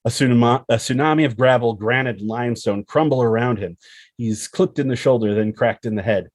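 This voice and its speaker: A man's voice: medium pitch, fairly quick, no echo, clean studio sound. A man narrating a screenplay, reading out scene headings and action descriptions as scripted storytelling.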